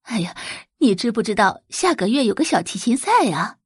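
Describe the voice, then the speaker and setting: A female performer acts a pushy middle-aged mother for an audio drama. She coaxes and exclaims with animated intonation and a husky tone. The sound is close and dry, her manner gossipy and emphatic. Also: a little high, moderate pace, no room sound, exaggerated voice. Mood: excited.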